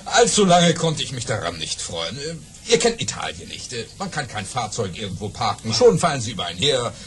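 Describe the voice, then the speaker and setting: A man's voice: big pitch swings, a little fast. A man acting as a boastful, jovial baron who tells tall tales in a theatrical, savoring way in a German children's audio play. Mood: jovial, merry. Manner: theatrical, savoring, swings from hushed to booming.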